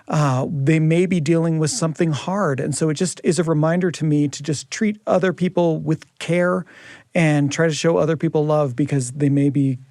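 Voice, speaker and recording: A man's voice: on the high side, darkish tone, no echo, clean studio sound. A man co-hosting a family audio story podcast, announcing and discussing. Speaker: podcast co-host.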